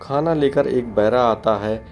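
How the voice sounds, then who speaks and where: A man's voice: mid-range voice, measured pace, darkish tone, soft background music. A man reading scripted narration of a horror story.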